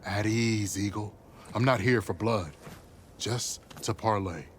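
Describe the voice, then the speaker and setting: A low-voiced, calm veteran. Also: male voice, a little hiss or hum. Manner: measured, calm, persuasive. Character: steady male veteran, calm and diplomatic. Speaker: game character.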